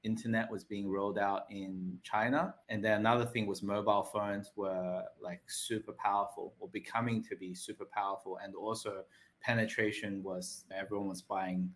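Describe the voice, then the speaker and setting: A man's voice: lowish voice, level tone, normal speed, a bit bright. A man, talking loosely as a podcast guest and often checking in with tag questions. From Australia. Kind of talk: casual interview talk.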